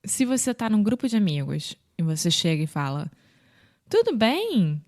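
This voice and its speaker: A woman with a Rio de Janeiro accent explains everyday phrases in a relaxed teaching chat. Her speaking voice sits mid-range. She keeps acting out short greetings, with sudden bright leaps in pitch. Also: moderate pace. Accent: Rio de Janeiro Portuguese. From Brazil. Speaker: podcast co-host teaching Portuguese.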